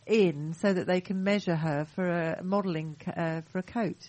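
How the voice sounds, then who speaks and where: A woman's voice: on the low side, steady tone, moderate pace, a little mellow. A woman co-hosting a local radio show, chatty and playful, telling stories and joking. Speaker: radio co-host. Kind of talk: casual radio chat.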